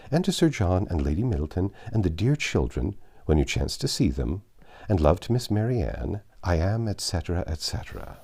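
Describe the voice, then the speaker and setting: A man's voice: on the low side, dark tone. A man voicing an effusive, ingratiating young woman's letter in an audiobook, in a gushing, deferential manner. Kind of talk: audiobook letter read in character.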